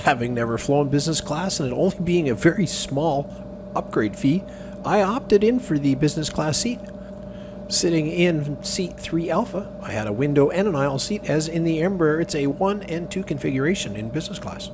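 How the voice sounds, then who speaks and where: A man with a slightly high voice narrates a travel vlog as its host. His delivery is casual but scripted, and a clear hum of aircraft noise runs behind him. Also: lively intonation, normal speed. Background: aircraft and vehicle hum.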